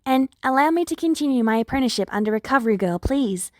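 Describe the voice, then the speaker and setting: A woman's voice: sits high, lively intonation, fast, darkish tone, dry recording, studio quality. A woman voicing an earnest, eager older girl, a polite and persuasive hero student in a story. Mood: pleading.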